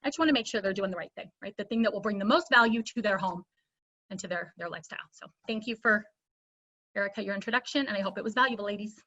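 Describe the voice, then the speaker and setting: A woman's voice: medium pitch, quick pace, sharp tone. A woman giving a persuasive, emphatic motivational business talk over a video call. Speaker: speaker. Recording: dull lo-fi audio.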